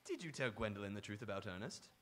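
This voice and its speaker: A man's voice: lowish voice, big pitch swings, fast. A man acting in live radio theatre as a flippant, mischievous young English dandy with a theatrical manner. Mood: teasing.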